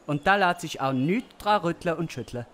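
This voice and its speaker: Man playing a high, swooping, pompous village overlord. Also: lively intonation, normal speed, fairly bright tone. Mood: smug, bossy. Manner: waffling, self-important, hesitant with many tag questions. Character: Gessler, pompous, dithering village overlord who loves comfort. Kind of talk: comic Swiss-German audio play acting.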